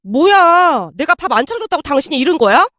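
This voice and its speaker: A woman's voice: sits high, sing-song, a little fast, a bit bright, dry recording, studio quality. A woman voicing a selfish, irritable young wife in a narrated family story, snappy and whining in protest. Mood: startled, accusing.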